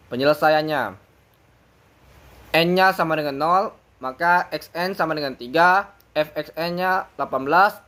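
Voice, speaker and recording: A man's voice: on the high side, a bit bright. Young man reciting numbers steadily. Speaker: student presenter. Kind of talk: reading out calculation results.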